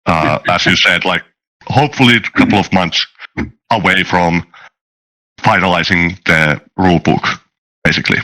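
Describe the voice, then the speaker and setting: A man chatting casually as a guest, halting and thoughtful, often repeating words as he goes. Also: low voice, bright, forceful tone, low-quality recording. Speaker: interview guest, tabletop game master. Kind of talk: casual chat.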